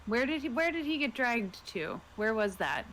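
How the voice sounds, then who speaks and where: A woman's voice: on the high side, sing-song, normal speed, fairly bright tone. A woman role-player thinking aloud casually and a little hesitantly about what her character does. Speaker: player in a tabletop role-playing game. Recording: home recording with clear background noise.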